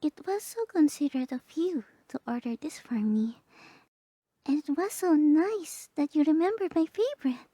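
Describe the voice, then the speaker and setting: A woman voicing a sweet, excited young woman on a date, girlish, gushing and soft, in an ASMR roleplay. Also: sits high, moderate pace, dry recording, pitched above the performer's own voice.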